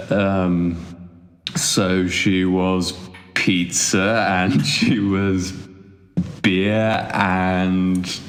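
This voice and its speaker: A man's voice: low voice, heavily acted. An actor playing a cheeky, slightly awkward young British man with a hesitant, informal way of talking in an audio drama. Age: young adult. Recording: echoey room, faint noise.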